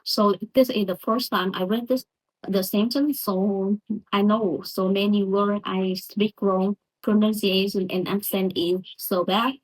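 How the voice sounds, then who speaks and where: A woman's voice: mid-range voice, fairly even intonation, a little slow, low-quality recording. A woman with a Vietnamese accent, a Vietnamese native speaker, giving a hesitant pronunciation practice lesson in broken English with many restarts. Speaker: English learner vlogger.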